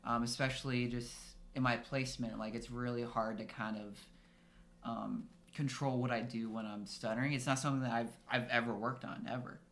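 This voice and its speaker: A man's voice: mid-range voice, fairly even intonation, fairly quick, a bit bright. A young man who stutters, a speech therapist, in casual podcast talk full of "like" and repeated words.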